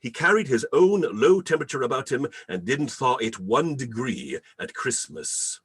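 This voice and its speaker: A man announces and narrates like an old-time radio storyteller. His slightly husky voice rises and falls widely, with theatrical, deliberate emphasis on each phrase. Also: mid-range voice, expressive, fairly bright tone. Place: remote video call reading.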